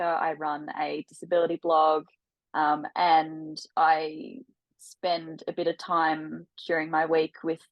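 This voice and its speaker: A young Australian woman in her twenties, speaking as a podcast guest in a chatty way with frequent ums and repeated words. Her voice is notably low for a woman, and the sound is dry and close. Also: no echo, rough recording. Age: 20s. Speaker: guest, aspiring life coach. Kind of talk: casual interview talk.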